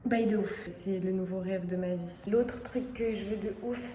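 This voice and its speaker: A woman's voice: mid-range voice, moderate pace, mellow, a little hiss or hum. A young woman with a Parisian accent, chatting casually to the camera in her apartment, slangy and self-mocking. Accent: Parisian French. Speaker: vlogger. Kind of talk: casual vlog chat. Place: apartment, indoors.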